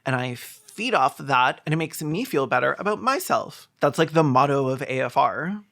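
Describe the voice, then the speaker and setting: Sarcastic commentator with a mid-pitched voice. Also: expressive, normal speed, creaky, fairly bright tone, soft background music. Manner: sarcastic, mocking, crude, exaggerated. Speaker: YouTube commentary host. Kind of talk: comedic reaction commentary.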